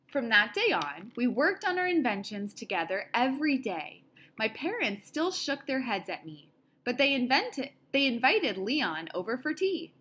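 A woman reading a children's picture book aloud in an expressive style, with deliberate, dramatic phrasing. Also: fairly high pitch, a little hiss or hum. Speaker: storybook reader.

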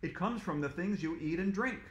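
A man's voice: high voice, animated, normal speed, lo-fi sound. A man reading aloud, voicing a heroic female superhero who champions tooth care in a grand, declaiming way. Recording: echoey room.

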